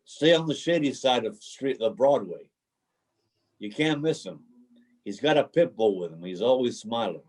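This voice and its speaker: A man's voice: medium pitch, lively intonation, moderate pace, a little mellow, slightly rough. A man playing a homeless drifter in a play reading, a cynical, bruised romantic who tells his story in a folksy, dramatic way. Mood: rueful. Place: remote video call reading.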